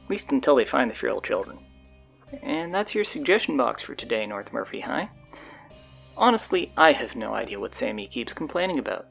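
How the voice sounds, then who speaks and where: A man playing a flustered, sardonic stand-in high school announcer, rambling hesitantly and casually through audio drama announcements. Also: very animated, fairly quick, gravelly, fairly bright tone, lo-fi sound, faint music behind.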